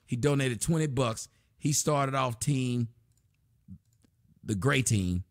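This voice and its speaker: A man's voice: mid-range voice, slow, no echo, clean studio sound. A man hosting a casual livestream from a small room at his desk, loosely reading donations and chat aloud with plenty of profanity. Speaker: livestream host.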